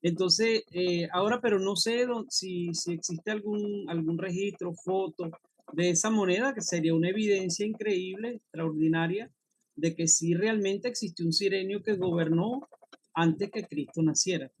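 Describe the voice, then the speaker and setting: A man's voice: high-pitched, normal speed, poor audio. A man from Venezuela taking part in an online study group, speaking hesitantly with many fillers. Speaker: workshop participant.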